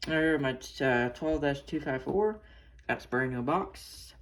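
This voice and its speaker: A man giving a casual, hands-on show-and-tell as a collector, rambling and often correcting himself as he goes. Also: a little high. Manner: halting, rambling, self-correcting. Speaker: radio collector showing a collection. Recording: noisy room with clicks.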